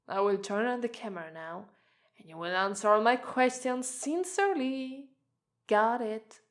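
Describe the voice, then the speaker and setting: A woman with an Italian accent playing a menacing, sadistic policewoman in an ASMR roleplay, taunting a suspect and slipping into whispers. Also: medium pitch. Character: menacing, sadistic, vengeful policewoman interrogating a killer. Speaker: ASMR voice actress.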